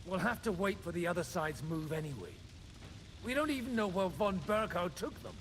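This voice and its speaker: A grave, loyal medieval nobleman who counsels caution. The voice is rather high and very lively in intonation, with a solemn game-drama delivery over faint noise. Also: normal speed. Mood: serious, cautioning. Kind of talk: dramatic video game dialogue.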